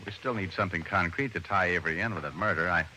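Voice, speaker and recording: Male detective, low, bright and assured, dryly witty, old radio crime show, hissy recording. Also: fast talker, rough recording. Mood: musing, intent. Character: determined, quick-witted male private detective with dry humor. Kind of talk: old-time radio detective drama acting.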